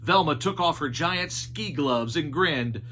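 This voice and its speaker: A man reading a children's story as narrator, with dramatic, deliberate phrasing. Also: on the high side, animated, sharp tone, slight background noise.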